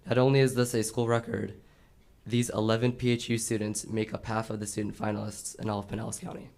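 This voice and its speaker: A man's voice: on the low side, fast, creaky. A teenage male student formally reading prepared remarks at a school board meeting. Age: late teens. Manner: formal, steady recitation of figures. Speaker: student presenter.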